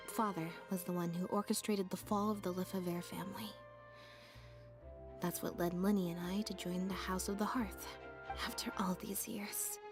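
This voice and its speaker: An actress voicing a reserved, composed young woman in a video game, with an understated, measured, soft-spoken delivery. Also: medium pitch, close to an everyday voice. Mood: subdued, guarded. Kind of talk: scripted video game voice acting. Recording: game audio under clear music. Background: background music.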